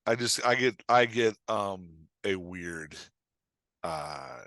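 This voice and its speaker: A man's voice: deep voice, expressive, slow, fairly bright tone, no echo, studio quality. A man talking casually as a podcast guest and writing coach, rambling as he explains, peppering it with "like" and imagined quotes. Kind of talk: casual conversation, explaining and joking.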